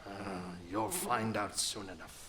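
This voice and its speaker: An actor playing a huge, menacing troll with a threatening, mock-friendly manner in an audio folktale. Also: male voice, on the low side, fairly even intonation, slow, crowd noise. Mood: gruff, suspicious. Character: huge ugly menacing male troll master. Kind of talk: audio drama folktale acting.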